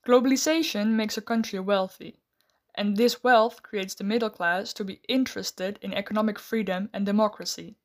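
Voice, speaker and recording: Woman host reading a script, steady and measured, mid pitch. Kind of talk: scripted narration and interview questions.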